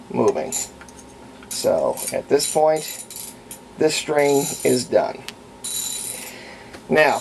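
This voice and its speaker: Male hobbyist demonstrator, average pitch, notable vocal fry, unhurried homespun delivery, thinking aloud on the job. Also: a little mellow, rough recording. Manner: folksy, unhurried, thinking aloud while working. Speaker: radio repair hobbyist demonstrating. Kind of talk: casual hands-on tutorial narration. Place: indoors at home, hands-on at workbench. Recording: faint background noise, home workshop.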